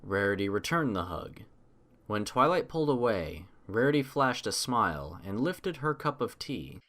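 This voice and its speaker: A man's voice: fairly low pitch, very animated, moderate pace, a bit bright. A man reading scripted story narration as an audiobook narrator.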